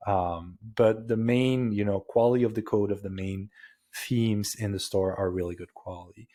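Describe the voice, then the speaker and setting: Dutch-accented male guest, lowish voice, loose chatty delivery with many restarts. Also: steady tone, normal speed, mellow. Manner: rambling, restarts sentences often. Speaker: guest, ecommerce agency founder. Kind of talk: casual podcast interview.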